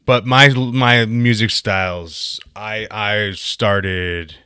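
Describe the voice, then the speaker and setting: Man from the states, low bright voice, smooth and crisp, dry sound, easygoing joking co-host. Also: fairly even intonation, drawn-out words, no room sound. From United States. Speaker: podcast co-host. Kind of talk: casual chat.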